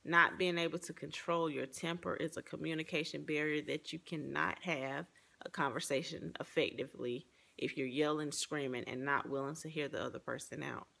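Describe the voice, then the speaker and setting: A woman gives a personal, reflective presentation, partly read from notes. Her voice has a noticeable fry and gravel to it. She explains herself in an earnest way. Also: low-pitched, fairly even intonation, normal speed, pushed and bright.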